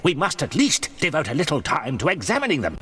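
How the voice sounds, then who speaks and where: Man acting a high, forceful, indignant professor. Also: huge pitch swings, fast, breathy edge, heavily acted. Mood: resolute. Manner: declamatory, fuming. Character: indignant, stubborn older professor uncle, defiant and imperious. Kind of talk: audiobook character voice.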